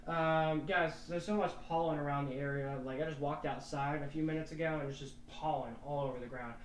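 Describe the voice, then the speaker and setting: A young man rambles casually through live weather commentary, his voice sitting a little high. He sounds a bit distant from the mic in a roomy, echoing space. A faint hum runs underneath. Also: steady tone, a little fast. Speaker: weather forecaster.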